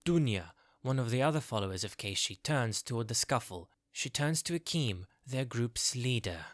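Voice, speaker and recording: A man's voice: mid-range voice, expressive, normal speed, no room sound. Dry-recorded man narrating fantasy.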